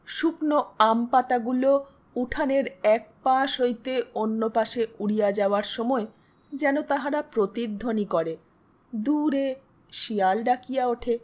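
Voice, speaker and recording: High-voiced woman narrating a story. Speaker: host and narrator of audio stories. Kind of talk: dramatic literary story narration.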